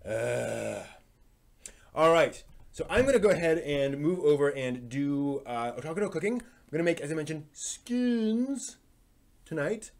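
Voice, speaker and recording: Man hosting a casual, halting live stream. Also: on the high side, lively intonation, gravelly.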